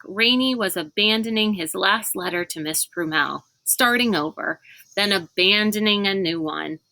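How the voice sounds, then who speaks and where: A woman reads a children's novel aloud. Her voice is bright and mid-pitched, and she takes her time over the narration. The recording is dry, with hardly any room sound. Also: no room sound, poor audio. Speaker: storybook reader.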